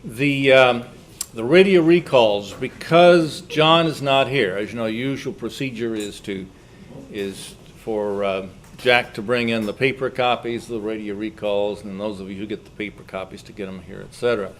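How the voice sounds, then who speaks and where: A man chairs an informal club meeting in a somewhat low voice. His announcements ramble and halt as he finds his way. There is faint background noise on the recording. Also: moderate pace. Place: indoor club meeting room.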